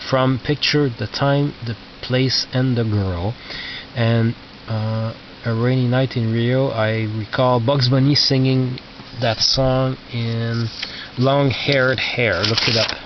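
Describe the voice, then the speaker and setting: A man talks through his collection in a casual, halting way, muttering asides to himself as he goes. His mid-pitched voice sits back from the mic in a dull recording with very noticeable noise. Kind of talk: casual unscripted show-and-tell commentary. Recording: lo-fi, noisy, distant mic with clicks.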